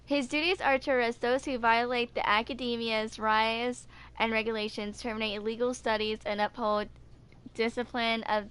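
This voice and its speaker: A woman's voice: on the high side, fairly even intonation, moderate pace, fairly bright tone, clean tone. A woman in her twenties casually reading game character lore aloud, stumbling and correcting herself as a video host. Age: 20s.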